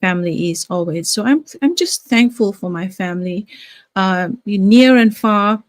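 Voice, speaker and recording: A woman's voice: medium pitch, lively intonation, a little slow, dry recording. An older woman from Fiji, a grandmother, hosting a casual live stream with many fillers and restarts. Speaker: live-stream host, nurse and business owner.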